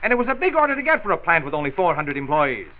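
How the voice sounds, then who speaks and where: An actor playing a hot-tempered, driven factory owner in a 1940s radio drama, blustering and emphatic. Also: male voice, a little high, big pitch swings, racing. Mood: urgent, insistent. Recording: muffled, noisy 1940s radio transcription.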